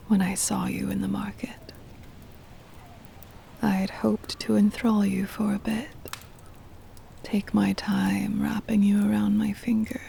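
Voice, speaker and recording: A woman playing a centuries-old vampire mistress, tender and possessive, in a hushed, breathy ASMR whisper with deliberate, formal wording. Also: mid-range voice, slight background noise. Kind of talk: ASMR roleplay, intimate scripted monologue.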